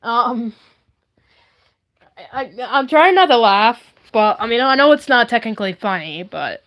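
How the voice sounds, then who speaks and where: High-pitched lady livestreamer just rambling along, playful n kinda silly, real casual. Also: animated, unhurried, fairly bright tone. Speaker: livestream host. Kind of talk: casual chatty livestream, showing handmade puppets.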